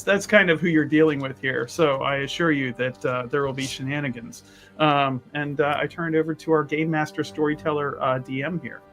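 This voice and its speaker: A man with a slightly high voice talks as a player at a roleplaying table. He is casual yet wordy, reaching for grand words and often stopping and restarting. Soft music sits in the background. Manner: wordy, halting, with grand vocabulary. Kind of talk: casual character introduction at a game table.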